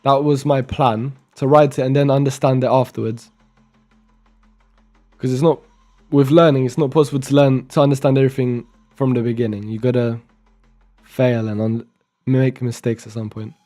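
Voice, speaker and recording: A man's voice: mid-range voice, fairly even intonation, normal speed, mellow, soft background music. A young man from Romania, casually commenting while live coding and muttering code aloud as he types, with chatty asides. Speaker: programming streamer.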